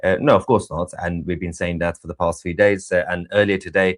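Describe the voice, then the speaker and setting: A man co-hosting a live stream, giving unscripted news commentary in a halting way with pauses mid-sentence. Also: sits low, little pitch movement.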